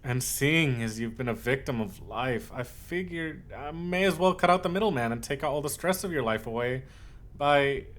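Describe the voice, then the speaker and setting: A man plays a soft, possessive male yandere in an intimate audio roleplay, with a mid-pitched voice. He dotes on his listener with earnest devotion, stumbling slightly over his words as his obsession shows through the tenderness. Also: animated, lightly acted. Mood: soothing, possessive. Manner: tender, doting, halting, earnest.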